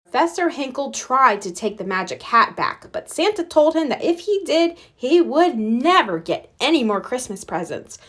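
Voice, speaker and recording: A woman narrates a children's storybook. Her voice sits high and moves around a lot, giving an expressive read-aloud delivery. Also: normal speed, hardly any pauses. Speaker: storybook reader.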